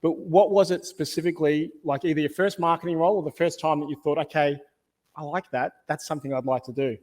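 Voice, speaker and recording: A man's voice: on the high side, steady tone, fast, a little mellow. A man moderating a conference panel on stage, upbeat and encouraging with his guests.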